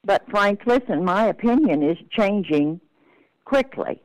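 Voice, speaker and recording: A woman's voice: medium pitch, animated, unhurried, a little mellow, dry recording, low-quality recording. An older woman talking on the telephone in a plain-spoken, admonishing manner. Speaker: prank call recipient. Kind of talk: phone conversation.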